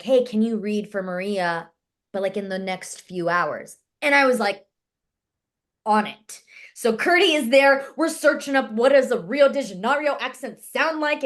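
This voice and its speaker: A woman actress and martial artist, telling her story in a casual interview and acting out the dialogue she quotes. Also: a little high. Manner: animated, acts out quoted dialogue in her stories.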